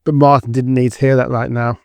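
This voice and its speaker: A man's voice: mid-range voice, little pitch movement, a little fast, creaky, darkish tone, no echo. A man narrating a scripted audio drama as storyteller.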